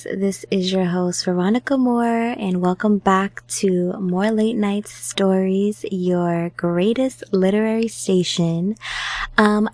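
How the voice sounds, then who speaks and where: A woman hosts a casual literary podcast at a slow, easy pace. Her voice sits in a middle range for a woman. The sound is dry, with hardly any room on it. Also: mid-range voice, darkish tone, no room sound. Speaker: podcast host.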